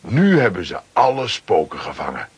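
A man narrates a children's adventure in a fairly low, heavily rasping voice. His dramatic storytelling is very animated, and the recording is close and dry. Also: moderate pace, no room sound.

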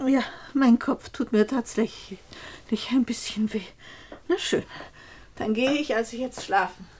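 An actress playing a young, frustrated and slightly tipsy niece in a German radio play, pointed and plaintive, in standard German. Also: female voice, on the high side, measured pace, darkish tone. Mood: tipsy, defiant. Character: young niece, tipsy, frustrated, defiant toward her aunt.

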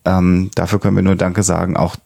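A man speaks as a podcast co-host in a very deep register with plenty of vocal fry. His delivery is informal and directed at the listeners. The studio sound is very dry. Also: flat delivery, brisk, warm tone, no room sound. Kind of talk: casual podcast chat with listener announcements. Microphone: headset.

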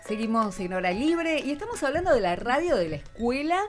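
A woman's voice: fairly high pitch, very animated, a little fast, over music. A woman with a Rioplatense Argentine accent, interviewing as a radio host in an encouraging, conversational manner. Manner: encouraging, responsive.

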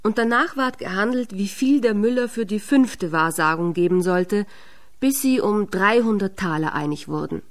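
Woman storyteller reading a fairy tale. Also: mid-range voice. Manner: measured, expressive storytelling. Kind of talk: fairy-tale narration for radio drama.

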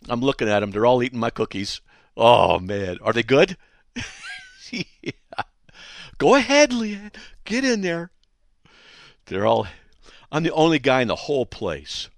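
A man hosting a call-in radio show from a studio, his voice hoarse and scratchy, chatting and joking with listeners. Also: mid-range voice, big pitch swings, a little slow, pauses often, no echo. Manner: chatty and joking, breaking off to talk to people behind the studio window. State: hoarse, voice still shot. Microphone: studio microphone.

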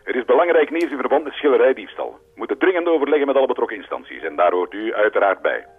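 An actor playing a bossy, impatient mayor in a radio drama, curt and commanding over a telephone line. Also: male voice, mid-range voice, sing-song, fast talker, breathy edge. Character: bossy, impatient male mayor barking orders. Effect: telephone filter. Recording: tinny narrow telephone line.

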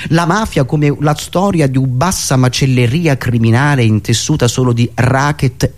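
A man performing a theatrical satirical monologue, ironic, with dramatic pauses and emphatic swings from hushed asides to raised delivery. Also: a little high. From Italy. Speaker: writer and performer of a satirical radio monologue show.

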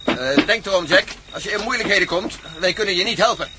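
A man's voice: high-pitched, animated, quick pace. An actor in a radio play playing a practical, cautious man with a plain-spoken manner. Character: practical, cautious man assisting a space mission. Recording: noisy old radio play recording.

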